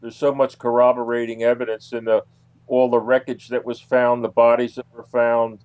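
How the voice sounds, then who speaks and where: Male interview guest, medium pitch, dry sound, conversational, halting, emphatic repetition. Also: flat delivery, darkish tone, clean tone, dry recording, poor audio. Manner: halting, repeats phrases for emphasis.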